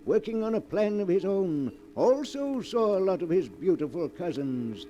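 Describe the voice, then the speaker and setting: High-voiced male radio narrator. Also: sing-song, warm tone, muffled recording. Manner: measured, storytelling. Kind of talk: scripted narration.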